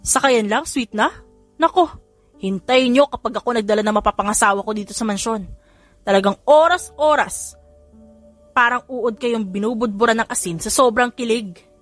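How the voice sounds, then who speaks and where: A woman's voice: fairly high pitch, moderate pace, soft background music, natural voice. A woman voicing a boastful, bickering young man, an older brother, in an audiobook. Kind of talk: audiobook character dialogue.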